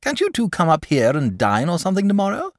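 A man's voice: mid-range voice, wildly swooping pitch, moderate pace, creaky, dry recording, clean studio sound, voice pushed up. A man voicing a huge, mild, lazy dragon in a storybook, with a genteel, chatty and sociable manner. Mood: eager, polite. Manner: genteel, chatty, bashful, eager to please.

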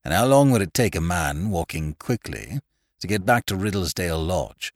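A man's voice: deep voice, sing-song, no room sound, clean studio sound, full character voice. Storybook role: senior barrister read by a male narrator, cool, authoritative, probing, closely recorded. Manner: measured, probing, formal.

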